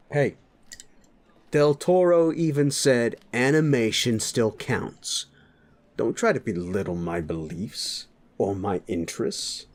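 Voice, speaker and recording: A man's voice: lowish voice, a little mellow, exaggerated voice. Man acting a cocky, swaggering werewolf, wildly swooping delivery. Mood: teasing, irritated. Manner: swaggering, sweary, teasing, rambling. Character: cocky sleazy male werewolf, crude teasing drinking buddy. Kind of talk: audio roleplay acting addressed to the listener.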